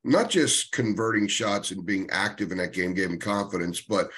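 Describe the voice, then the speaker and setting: A man's voice: lowish voice, normal speed, fairly bright tone, slightly rough. A man working as a sports reporter, asking questions on a press conference call.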